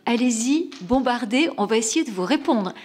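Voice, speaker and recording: A woman moderating a conference panel, inviting the audience to ask questions in a lively, playful way. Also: on the high side, normal speed, husky.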